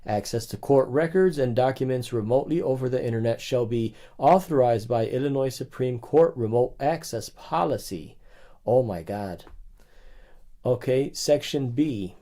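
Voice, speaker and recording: A man's voice: mid-range voice, warm tone. A young man hosting a livestream, reading legal rules aloud in bursts and chatting casually with viewers between passages. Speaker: livestream host.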